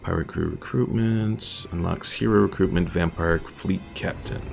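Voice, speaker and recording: A man's voice: low voice, normal speed, gravelly. A man giving casual commentary on a game as a streamer, thinking aloud as he plays. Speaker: gaming streamer. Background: faint game music.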